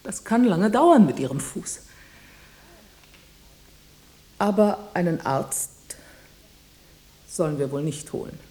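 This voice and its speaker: Lively, mellow inquisitive woman. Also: lowish voice, unhurried, breathy edge. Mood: concerned. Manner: questioning, teasing, expressive. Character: inquisitive woman, spirited old flame of a journalist. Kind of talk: radio play acting.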